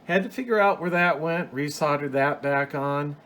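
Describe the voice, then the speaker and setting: A man's voice: fairly high pitch, normal speed, fairly bright tone. A man giving a casual, unscripted show-and-tell as a hobbyist host, explaining things in a rambling, plain-spoken way. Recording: clear background noise.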